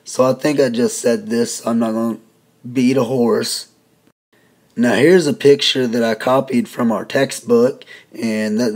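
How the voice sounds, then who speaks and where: Hoarse man lecturing casually. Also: mid-range voice. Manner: folksy, conversational explaining. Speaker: teacher.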